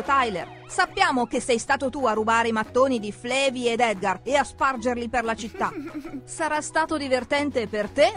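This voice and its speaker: A woman narrating a children's cartoon from a script, expressively, speaking straight to the characters. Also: high-pitched. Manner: expressive, addresses characters directly.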